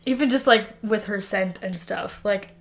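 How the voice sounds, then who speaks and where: A woman's voice: medium pitch, normal speed. Sardonic, giggly woman with mid-range voice. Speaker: podcast co-host. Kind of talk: casual comedic banter.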